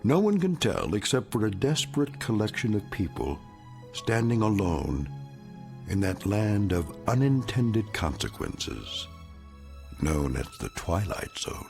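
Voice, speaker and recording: Gravelly, ominous radio storyteller. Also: male voice, fairly low pitch, wildly swooping pitch, over music, full character voice. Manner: measured, portentous. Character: grave, ominous male storyteller of eerie tales. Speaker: narrator. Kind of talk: dramatic scripted radio narration.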